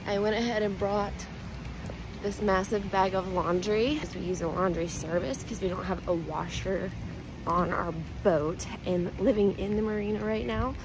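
A woman's voice: mid-range voice, moderate pace. A young woman vlogging casually into a phone held at arm's length, talking while she walks outside. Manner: chatty, filler "like", walking while talking. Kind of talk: casual vlog chat. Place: outdoors at a marina and in a car. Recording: noisy outdoor phone recording.

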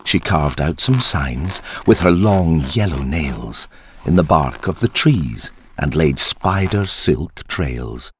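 A man narrating a dark verse tale as a storyteller, theatrical, deliberate and ominous, with a husky deep voice. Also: lively intonation, a little hiss or hum. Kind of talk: dramatic scripted storytelling in verse.

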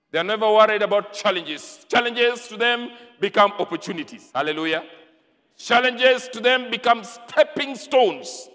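A Kenyan man in his fifties preaching a sermon in an emphatic, declamatory way, with deliberate pauses and repetition. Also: high voice, bright, forceful tone. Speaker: pastor. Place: large church, preaching from a pulpit. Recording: long hall reverb.

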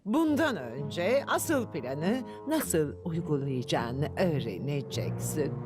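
A woman voicing a scheming, haughty grandmother villain in a dubbed children's cartoon, pompous and theatrical with drawn-out words. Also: deep voice, very animated, exaggerated voice. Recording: music under the voice.

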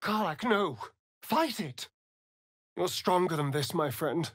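An actor playing a vain, sardonic vampire rogue in a fantasy video game, with an arch, drawling, theatrical delivery. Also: male voice, on the high side, lively intonation, takes it slowly, a bit bright, no echo, studio quality, exaggerated voice. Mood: tense.

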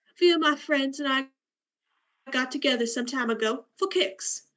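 Actress as bitter young woman, radio drama, flippant, cold, blunt, sardonic, higher-pitched voice. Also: normal speed, a bit bright. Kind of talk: radio play acting.

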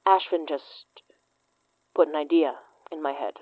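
Female twenties investigator, low and dry-sounding, deadpan tease, world-worn, for a horror audio drama. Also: unhurried, no room sound, poor audio. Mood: guarded, earnest. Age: 20s. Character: wry, teasing, trauma-worn young woman investigator with psychic senses. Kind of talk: naturalistic audio drama acting.

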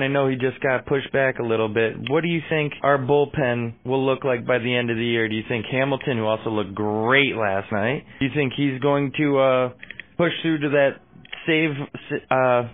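Male caller, mid-range voice, casual question, tinny telephone audio. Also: medium pitch. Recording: narrow tinny phone line.

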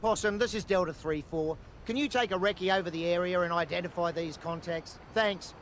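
A man playing an Australian army commander making brisk, forceful radio calls in a war game. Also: high-pitched, slight background noise. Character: Australian ANZAC commander calling for air and artillery support.

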